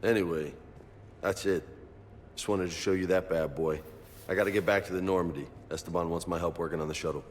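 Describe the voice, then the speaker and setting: A man's voice: low voice, fairly even intonation, fairly quick, a little hiss or hum, lightly acted. A man voicing a brash, friendly young soldier and gym buff in a video game, cocky and slangy, with bits of Spanish. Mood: casual, sincere.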